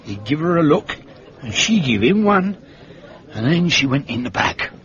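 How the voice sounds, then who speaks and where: An actor playing a blunt, sardonic Cockney manservant in a British radio drama, talking in a colloquial, gossipy, grumbling way. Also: male voice, on the high side, sing-song, moderate pace, a bit bright. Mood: suspicious, gossipy. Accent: Cockney London. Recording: muffled, dull radio drama recording.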